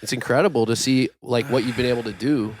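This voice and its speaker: A man's voice: mid-range voice, steady tone, fast talker, a little hiss or hum. A man hosting a casual podcast interview in an enthusiastic, upbeat way. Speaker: podcast host.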